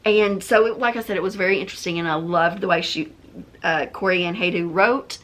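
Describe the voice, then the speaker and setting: A woman's voice: on the low side, brisk. A woman giving informal, opinionated book reviews in a chatty way, thinking aloud and correcting herself as she goes.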